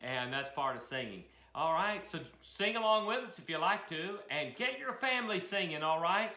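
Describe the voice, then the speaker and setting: A man's voice: high-pitched, lively intonation, normal speed, bright, forceful tone. A man in his sixties, a music teacher hosting the program, chatting in a folksy, self-deprecating way from a mountain cabin. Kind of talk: casual instructional chat. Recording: roomy echo. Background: old piano.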